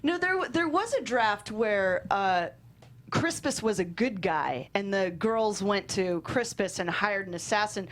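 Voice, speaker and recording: A woman's voice: medium pitch, lively intonation, a little slow. Casual, self-deprecating woman screenwriter. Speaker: screenwriter guest. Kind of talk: casual interview chat.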